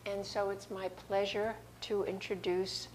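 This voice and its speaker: A woman's voice: medium pitch, little pitch movement, a little slow, very noisy. Woman hosting an art salon. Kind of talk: introducing a guest speaker.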